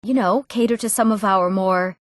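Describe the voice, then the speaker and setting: Casting: eager young village woman for a fantasy RPG, played by an actress, mid-pitched, earnest, clear diction, dry booth recording. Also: normal speed, no echo, natural voice. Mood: eager, hopeful. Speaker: video game voice actor. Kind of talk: scripted fantasy game dialogue.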